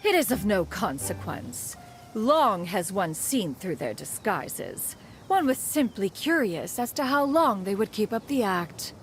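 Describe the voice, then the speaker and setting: A woman's voice: mid-range voice, big pitch swings, a little slow, soft background music. A woman voicing an aloof, dignified immortal with lofty, formal diction in a video game. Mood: composed.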